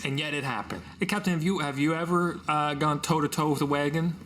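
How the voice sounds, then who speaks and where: A woman with a lowish voice plays her character in a tabletop game. She talks in a casual, conversational way, with faint noise on the recording. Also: brisk, fairly bright tone. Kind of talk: casual in-character role-play.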